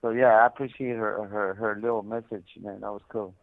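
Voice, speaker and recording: A man's voice: fairly low pitch, steady tone, a little fast, gravelly, no room sound. A man calling from prison over a phone line, talking casually and repetitively about himself, with a lot of conversational filler. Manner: conversational, repetitive, peppered with "man" and "you know". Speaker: caller, prison inmate telling his story. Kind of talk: casual, unscripted personal storytelling. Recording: tinny, band-limited prison phone line.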